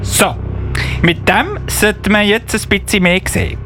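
A man acts out an eager little Christmas elf policeman for a children's audio play. The voice sits very high and leaps about in pitch, with a Swiss German accent. He talks haltingly and excitably, over faint music. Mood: helpful, unsure. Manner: halting, excitable, often interjecting excuses.

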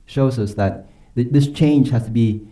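A man preaching a sermon and leading a closing prayer, earnest and emphatic, slowing down and dropping softly on key phrases. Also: mid-range voice. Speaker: pastor.

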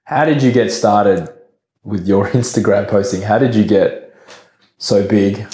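A man hosting a podcast in casual chat, with a croaky voice, relaxed and quick to laugh. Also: on the low side, roomy sound. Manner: relaxed, chatty, laughs often. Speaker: podcast host.

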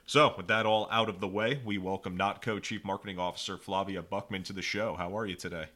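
A man's voice: sits low, fairly even intonation, a little fast, bright, forceful tone. A man hosting a podcast interview, upbeat and sprinkling in "you know" fillers. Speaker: podcast host.

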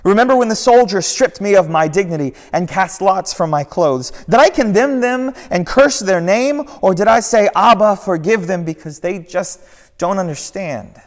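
A man voicing Jesus as a gentle yet firm man, speaking earnestly with a raised, pleading voice. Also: sits high, expressive, moderate pace, voice pushed up. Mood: impassioned. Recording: long echoey hall reverb.

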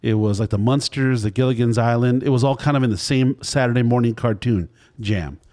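A man's voice: medium pitch, a little fast, dark tone. A man in his fifties hosting a casual food podcast, telling long, wandering anecdotes. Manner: rambling storyteller, wandering anecdotes. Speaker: podcast host. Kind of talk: casual interview chat.

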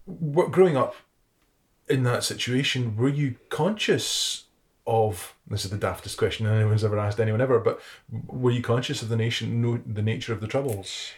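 A man's voice: medium pitch, normal speed. A man hosting a casual chat interview, joking and self-deprecating as he asks his questions. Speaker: interviewer, podcast host. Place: indoors at home.

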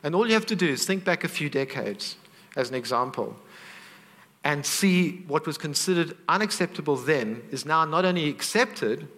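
A man's voice: on the high side, expressive, normal speed, fairly bright tone, in a large hall. A man preaching a sermon, earnest and conversational, with asides to the congregation.

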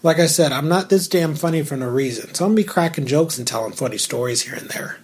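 A man with a naturally raspy voice, hosting his own talk show alone, talking candidly and cracking asides. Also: a little high, fast. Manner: candid and joking, with asides and filler words. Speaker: podcast host. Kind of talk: solo casual talk show.

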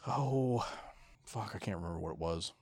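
A man's voice: fairly low pitch, lively intonation, normal speed, a little mellow, husky. A man co-hosting a podcast in casual, sweary conversation. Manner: profane. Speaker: podcast co-host. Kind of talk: casual chat.

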